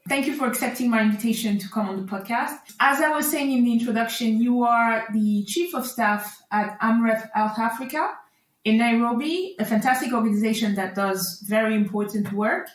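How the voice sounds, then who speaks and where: A woman hosting a podcast, giving a polished read-out introduction and then asking her guest questions in a clear, articulate way. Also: fairly high pitch, normal speed, reverberant, low-quality recording. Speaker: podcast host. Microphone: remote recording.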